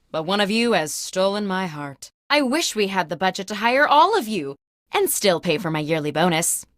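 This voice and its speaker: A woman voicing a breezy, self-important corporate manager who hosts a comedic video game with peppy, smug, brisk patter. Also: medium pitch, a bit bright, no room sound, studio quality. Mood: breezy, mock-sincere.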